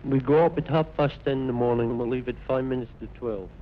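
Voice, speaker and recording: A man's voice: medium pitch, steady tone, fast talker, muffled recording, slight background noise. A young man answering interview questions on a 1950s radio recording. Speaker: youth club member interviewed.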